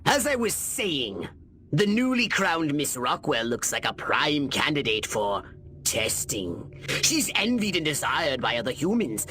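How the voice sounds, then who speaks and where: A man's voice: fairly high pitch, sharp tone, slight background noise, full character voice. A man voicing a scheming, condescending alien commander in a video game, with pompous, theatrical delivery. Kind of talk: video game cutscene acting.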